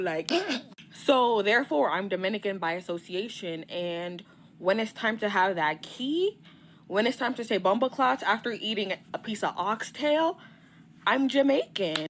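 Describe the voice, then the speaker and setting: A sassy, playful woman from Nigeria speaks in a casual monologue, slipping Spanish phrases into her talk. Her voice is fairly low. A faint hiss of background noise runs under it. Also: a bit bright. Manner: sassy, playful, code-switching.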